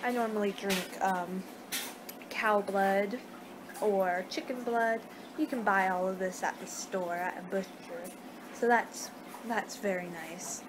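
A woman's voice: medium pitch, steady tone, measured pace. A woman in her twenties doing a rambling, hesitant ASMR roleplay as a friendly vampire, speaking conversationally. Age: 20s. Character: friendly young two-hundred-year-old female vampire hosting a guest. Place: small room at home. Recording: noisy home webcam recording.

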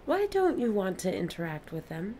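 A woman's voice: medium pitch, very animated, moderate pace, dark tone, a little hiss or hum, natural voice. A woman acting in an audio play as an earnest, eager alien observer who speaks precisely and formally. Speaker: actor. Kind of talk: audio drama acting, log reports and dialogue.

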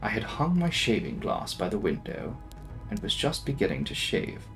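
A young man giving a dramatic, brooding reading of a gothic novel, with theatrical, deliberate phrasing. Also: on the low side, big pitch swings, a bit bright, soft background music. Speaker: audiobook narrator.

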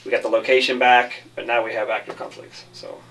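A man's voice: medium pitch, level tone, fairly quick, vocal fry, fairly bright tone, distant mic, poor audio. A man giving casual interview answers about his work as a film director.

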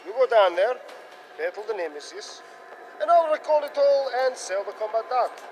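An actor playing a smug, calculating mercenary villain in a video game, with a taunting, condescending manner. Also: male voice, expressive, normal speed, a little mellow, low-quality recording. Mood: taunting, bargaining. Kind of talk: video game cutscene acting. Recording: game effects and rumble behind voice.

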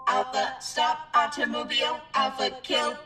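A woman's voice: sits high, level tone, a little slow, over loud music. A woman playing a blunt, dangerous robot in an old-time radio drama, speaking in stilted broken sentences. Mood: flat, menacing. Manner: stilted broken speech, speaks of itself by name. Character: childlike mechanical robot servant, blunt and dangerous.

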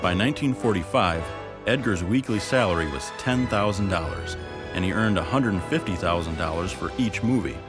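A man giving measured, scripted documentary narration. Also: low voice. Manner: even, measured reading. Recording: music bed behind.

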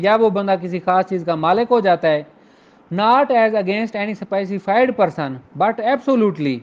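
A man's voice: high voice, a little mellow. A man with a Pakistani accent giving an informal, explanatory lecture as a law teacher. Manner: explanatory, switches between Urdu and slowly read English. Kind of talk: informal lecture, reading out and explaining legal articles. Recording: dull lo-fi recording.

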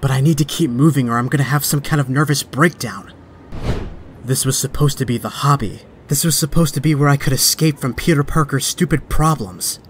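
An actor playing a young, wisecracking but stressed superhero in a comic dub, with a sardonic, conversational delivery. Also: male voice, mid-range voice, a little fast, slight background noise, lightly acted. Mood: anxious brooding. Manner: sardonic, conversational inner monologue. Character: young male superhero, wisecracking yet stressed and self-doubting. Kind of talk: dramatic comic dub acting.

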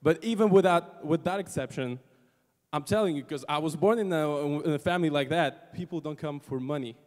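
A man makes his point earnestly and emphatically in a public discussion. His voice sits slightly high for a man, and the long reverb of a concert hall hangs around it. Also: fairly quick, a little mellow.

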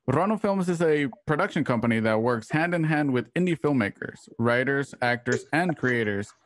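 A man's voice: medium pitch, no echo. Man reading a sponsor spot, dry sound. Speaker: sponsor announcer. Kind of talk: scripted ad read. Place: home room, video call. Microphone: headset and close mic.

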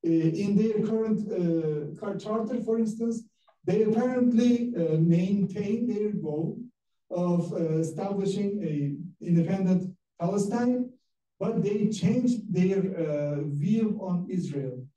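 A Turkish-accented man gives an academic lecture, pausing often on filler sounds. His voice sits high for a man but sounds very dark and mellow. He is picked up from some distance in an echoey room. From Turkey. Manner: halting, many filler sounds. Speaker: guest lecturer.

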